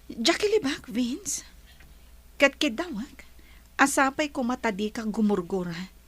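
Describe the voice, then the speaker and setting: Actress doing gentle young ilocano woman in a radio drama, high breathy voice, tender and pleading. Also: lively intonation, a little slow, heavily acted. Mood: apologetic, pleading.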